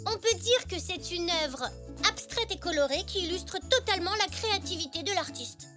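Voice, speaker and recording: An actor voicing a cheerful young zebra boy in a children's cartoon, excitable and playful, speaking French. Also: very high voice, moderate pace. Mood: proud, playful. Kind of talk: animated children's cartoon dialogue, French dub. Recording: music behind the dialogue.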